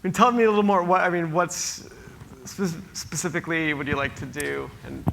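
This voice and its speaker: A gravelly, fairly high-voiced man fields audience questions at a conference panel. He talks loosely, circling back through fillers and restarts as he thinks aloud. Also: brisk, a bit bright, poor audio. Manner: hesitant, many fillers and restarts. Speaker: panel moderator. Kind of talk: casual panel answers. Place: conference hall.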